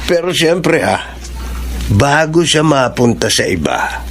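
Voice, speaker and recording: An actor playing a sleazy, lecherous beer-house owner in a Filipino radio drama, with an insinuating manner. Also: male voice, a little high, sing-song, slow, vocal fry, fairly bright tone, slight background noise, exaggerated voice. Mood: sly, lecherous.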